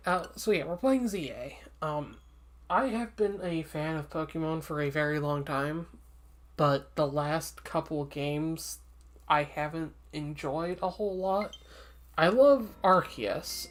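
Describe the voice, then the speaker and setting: A woman streaming a game and chatting casually, slightly congested and sniffly, with lots of ums while giving candid opinions. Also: low-pitched. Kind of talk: casual gameplay commentary.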